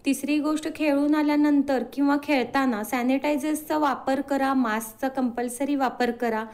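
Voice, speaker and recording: A woman's voice: high voice, fairly even intonation, normal speed, darkish tone. A woman answering as an interview guest, earnestly explaining and mixing in English words.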